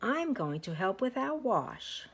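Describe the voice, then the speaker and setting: A woman's voice: fairly high pitch, very animated, slow pace, slight background noise, voice pushed up, full character voice. A woman voicing a caring little girl, earnest and exclamatory, in a storybook. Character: little girl, caring and attached to her bear.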